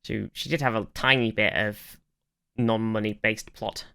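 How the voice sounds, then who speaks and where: Podcast co-host, middle-pitched and smooth, conversational, feels out each phrase with halts and hedges. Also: fairly even intonation, normal speed, sharp tone. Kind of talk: casual chat.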